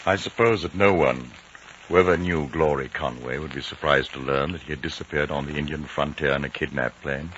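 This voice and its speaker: Low, educated radio-drama storyteller. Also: male voice, fairly quick, a bit bright. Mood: reflective. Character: educated English man recounting a story, reflective old school friend. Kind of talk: 1950s radio drama narration. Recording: dull, noisy vintage radio recording.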